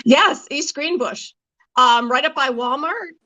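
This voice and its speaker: Higher-voiced woman chatting, dry close sound. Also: a little slow, pushed and bright, no room sound. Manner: chatty, informal. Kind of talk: casual, conversational answers in an online discussion. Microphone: video call.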